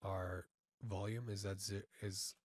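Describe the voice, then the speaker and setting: A man informally instructing step by step in a software tutorial, halting and often checking in, on a close mic. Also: low-pitched, steady tone, dry recording. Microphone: close studio mic through audio interface.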